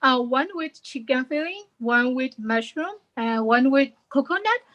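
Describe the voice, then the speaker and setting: A woman's voice: high-pitched, expressive, measured pace, a bit bright. Hesitant woman on a dull video call. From Myanmar. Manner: hesitant, with "uh" fillers. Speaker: contestant.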